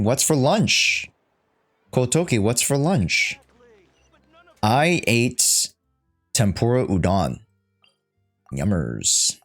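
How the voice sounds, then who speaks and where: A man livestreaming a casual, slangy reaction to a game, joking and rambling as he goes. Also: fairly low pitch.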